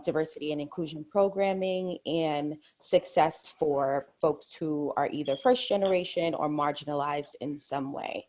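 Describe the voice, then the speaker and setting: A woman introducing herself as a webinar panelist. She sounds friendly and a little self-deprecating. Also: deep voice. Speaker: panelist, diversity lead.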